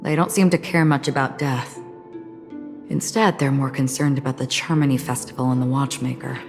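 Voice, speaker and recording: An actress playing a cool, pensive, quietly vigilant woman in a video game, speaking in a subdued, deliberate way. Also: extremely low pitch, fairly even intonation. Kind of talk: English video game voice acting. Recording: long reverb, game music behind.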